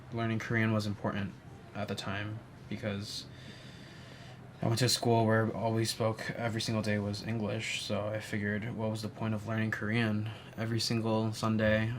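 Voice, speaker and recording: A young man tells his own story in a low, fairly flat voice, stopping often to say "um" and start again. He sounds soft and thoughtful. Faint ambient noise sits under the recording. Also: normal speed. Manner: soft-spoken, many "um"s and restarts. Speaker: interviewee. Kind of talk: reflective personal storytelling.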